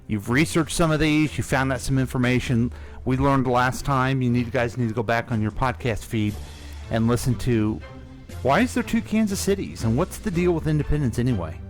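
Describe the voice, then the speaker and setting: A man hosting a local radio history show in casual, playful chat, leading the questions. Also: medium pitch. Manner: chatty, playful, leads the questions. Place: radio studio. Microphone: studio microphone.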